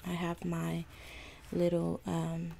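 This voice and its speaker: A woman hosting a casual home video podcast, chatting to her viewers in a slightly raspy morning voice and apologizing often. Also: on the low side, takes it slowly. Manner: chatty, apologetic, easily excited. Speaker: podcast host. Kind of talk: casual chat to camera. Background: coffee maker running.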